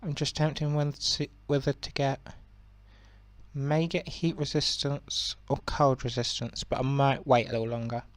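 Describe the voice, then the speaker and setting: A young man with a croaky voice giving relaxed, rambling commentary on a strategy game, with many ums and self-corrections. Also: mid-range voice, normal speed, no echo. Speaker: gaming channel host.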